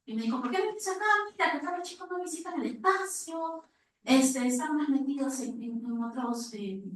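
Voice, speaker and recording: Echoey, distant Peruvian woman, higher-pitched. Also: a bit bright. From Peru. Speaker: audience member asking a question at a conference. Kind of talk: spontaneous anecdote and question to panelists. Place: reverberant indoor conference room. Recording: very echoey room, distant microphone.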